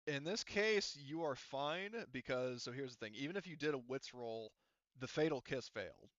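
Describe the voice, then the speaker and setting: A man narrating a tabletop roleplaying game as game master in a casual, halting way, thinking aloud as he goes. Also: medium pitch, expressive, a bit bright, no echo, muffled recording.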